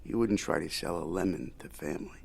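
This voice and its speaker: An actor playing a shrewd, folksy old media mogul in an audio drama, haggling in a wry, needling, Yiddish-peppered way. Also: male voice, low-pitched, a little fast, gravelly, a little mellow, husky, full character voice. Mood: coaxing, sly. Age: older.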